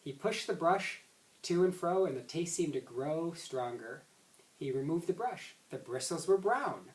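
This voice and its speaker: A roomy, slightly distant recording of a man reading a children's storybook aloud. His pitch moves around in a lively way, and he occasionally trips on a phrase and reads it again. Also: measured pace. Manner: stumbles and rereads words. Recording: roomy echo, distant microphone.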